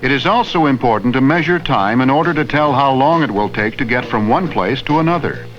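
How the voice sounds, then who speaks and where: A man giving scripted educational narration in a slow, clear, teacherly way, asking the viewer simple questions. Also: medium pitch, animated. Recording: dull vintage film soundtrack with faint music.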